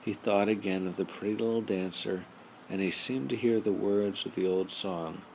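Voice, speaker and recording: A man narrating a children's bedtime story in a measured storybook style, as an audiobook reader. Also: lowish voice, steady tone, gravelly, darkish tone. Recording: noisy amateur home recording.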